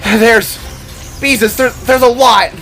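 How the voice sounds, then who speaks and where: A man's voice: very high voice, fairly even intonation, slow pace, pushed and bright, faint music behind, pitched above the performer's own voice, heavily acted. A man voicing a fiery, wary female fighter in a fantasy story, sounding startled. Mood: worried.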